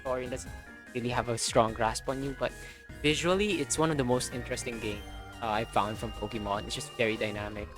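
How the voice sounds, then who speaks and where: A man's voice: mid-range voice, steady tone, brisk. A young man giving casual, informal, reactive commentary while livestreaming a video game. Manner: informal, sweary. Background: game music.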